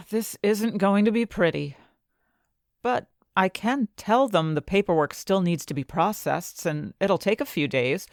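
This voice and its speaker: A woman playing an ambitious, conflicted scientist who narrates her own story with dramatic, deliberate phrasing. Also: mid-range voice, dry recording, clean studio sound, close to an everyday voice. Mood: scheming, agitated. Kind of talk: first-person audio fiction narration.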